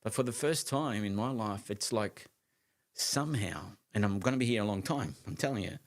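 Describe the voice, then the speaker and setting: A man in his late fifties co-hosting a casual conversation, talking in an animated, emphatic way with frequent restarts and the odd casual swear word. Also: on the low side, moderate pace. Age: 50s. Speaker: co-host of a live conversation show.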